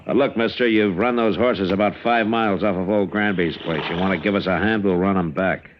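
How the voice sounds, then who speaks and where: Deep-voiced stern frontier lawman, played by a man. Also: a little fast, natural voice. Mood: probing, skeptical. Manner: terse, commanding, skeptical. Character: stern, watchful frontier lawman questioning a stranger. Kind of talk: 1950s western radio drama acting. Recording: dull, noisy old radio recording.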